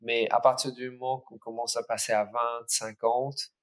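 A man's voice: mid-range voice, little pitch movement, moderate pace, fairly bright tone. A man who grew up in Ireland, a native English speaker speaking with a slight Irish accent, answering interview questions hesitantly with many fillers as a startup cofounder.

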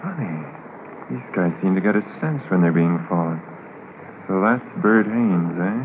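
Easygoing constable, bass voice, casual and practical, thin vintage radio sound. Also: male voice, lively intonation, normal speed. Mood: casual, alert. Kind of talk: 1950s radio drama acting. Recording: tinny, hissy 1950s radio transcription.

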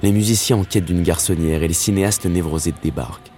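A man, narrating his own story from a script in a wry, slangy way with dramatic pauses. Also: deep voice, darkish tone, soft background music. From Paris, France. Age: 30s. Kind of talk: scripted first-person narration.

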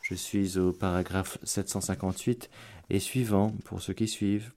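A male priest giving a radio sermon, preacherly, rising to loud exclamations and dropping to hushed prayer. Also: deep voice, fairly even intonation. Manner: slow, deliberate delivery. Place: radio studio.

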